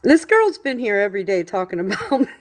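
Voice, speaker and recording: A woman's voice: medium pitch, very animated, brisk. A woman hosting a livestream, reacting to a clip with sassy, swearing remarks and frequent laughs. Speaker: livestream host.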